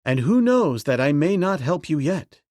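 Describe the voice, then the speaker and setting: A man voicing a calm, grave jungle hero in a storybook reading, measured and steady. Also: a little high, no room sound, clean studio sound, voice pushed up.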